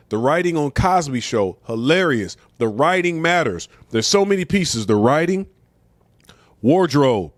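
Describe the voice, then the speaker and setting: A man's voice: fairly high pitch, no room sound. A middle-aged American man talking casually and bluntly as a show host, giving opinions in slangy, conversational speech with rhetorical questions. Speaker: comedian and talk show host.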